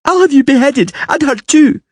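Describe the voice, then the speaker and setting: A man doing a shrill, haughty little princess. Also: expressive, moderate pace, a little mellow, dry recording. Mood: indignant. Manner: shrill, imperious and petulant.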